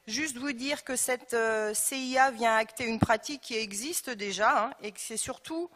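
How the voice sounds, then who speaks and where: A woman's voice: a little high, normal speed, noisy background. A woman giving a formal presentation as an elected official at a council meeting. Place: large council chamber. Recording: echoey council hall with long reverb.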